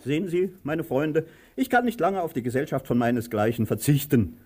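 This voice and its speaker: An actor in a radio play voicing a pompous, tyrannical landowner who mixes grandiose speeches with barked commands. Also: male voice, on the high side, expressive, a little fast, mellow. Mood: grandiose, genial. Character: pompous, tyrannical landowner, theatrical and domineering to his servant.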